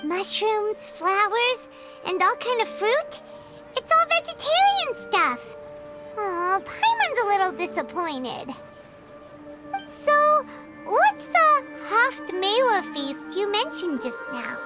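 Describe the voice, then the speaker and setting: A woman voicing a tiny, cheerful, childlike fairy companion with a squeaky voice in a video game. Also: sing-song, slow, heavily acted. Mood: curious. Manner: refers to self in third person, exclaiming. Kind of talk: English video game voice acting. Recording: loud music behind the voice. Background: game music.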